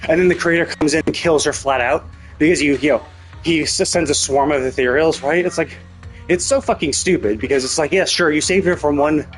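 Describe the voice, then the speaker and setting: A man's voice: on the high side, fairly quick. Man musing over a game. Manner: speculative, repeats "like" and "right?". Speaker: game livestreamer. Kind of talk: casual live game commentary. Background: game music.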